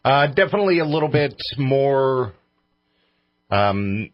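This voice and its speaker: A man with a husky voice hosting a radio show in casual banter. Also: medium pitch, lively intonation, a little slow, dry recording, dull audio.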